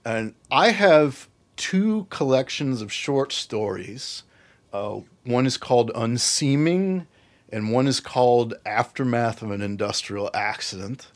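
A man's voice: mid-range voice, animated, takes it slowly. A man speaking conversationally as an author being interviewed about his work. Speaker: interview guest, horror and fantasy author.

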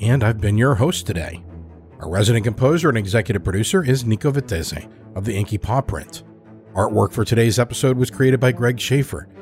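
Male podcast host, measured scripted outro, soft music bed. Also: on the low side. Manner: even, measured announcer delivery.

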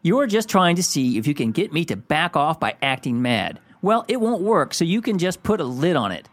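A man's voice: fairly high pitch, sing-song, fairly quick. A man playing a domineering, hot-tempered, insulting suitor in a radio play, with a scolding, mocking, emphatic delivery.

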